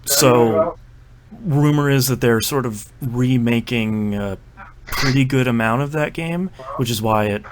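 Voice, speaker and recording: A man hosting a casual podcast about video games, chatting and running the segments with quick asides. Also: medium pitch, noisy background. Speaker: podcast host. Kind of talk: casual chat.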